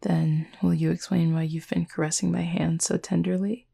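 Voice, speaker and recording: A reserved supernatural cupid played by a woman in an intimate audio roleplay. The voice is lowish for a woman, very creaky and husky, often close to a whisper. Speech is formal and measured, tender with a gently wry edge, and the pitch stays fairly flat. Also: exaggerated voice. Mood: tender, touched.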